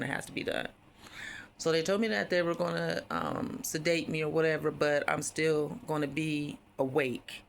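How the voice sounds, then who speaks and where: A woman with a deep, raspy voice, hoarse while healing, telling a personal story in a casual, rambling way with many fillers. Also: a little hiss or hum. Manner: rambling, frequent fillers and restarts. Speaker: vlogger.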